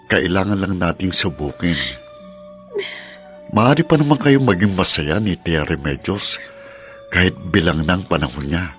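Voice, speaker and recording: A man playing a caring, steady big-brother figure, earnest and consoling, in a Tagalog radio drama. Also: low voice.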